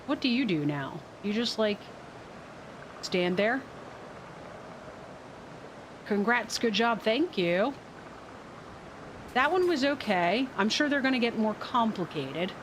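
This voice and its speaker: A woman's voice: mid-range voice, animated, slow pace, long pauses, slight background noise. A woman streaming a game live, thinking aloud as she plays and answering her chat. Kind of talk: casual live gameplay commentary. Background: game music.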